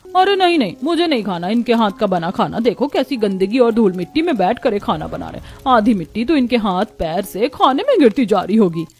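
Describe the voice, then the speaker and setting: A woman's voice: fairly high pitch, sing-song, brisk. A woman voicing a haughty, scornful rich modern neighbour in a cartoon, snobbish and mocking in manner. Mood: disgusted.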